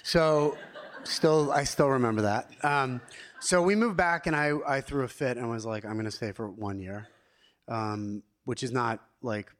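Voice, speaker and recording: A man's voice: mid-range voice, a little slow. A middle-aged man with an American accent telling his story casually and informally as an interview guest. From Pittsburgh, United States, partly Israel.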